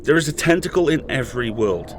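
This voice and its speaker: A man's voice: mid-range voice, lively intonation, fast talker, a little hiss or hum, lightly acted. A man playing an imprisoned podcast host in an audio drama, intense and raging, pleading with his colleagues. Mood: grim. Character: imprisoned male podcast host, furious and desperate.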